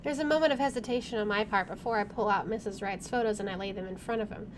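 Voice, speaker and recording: A female game streamer with an average-pitched voice. She reads visual novel narration out loud in a storytelling way. Now and then she drops in a casual aside of her own. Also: fast, music in the background, rough recording.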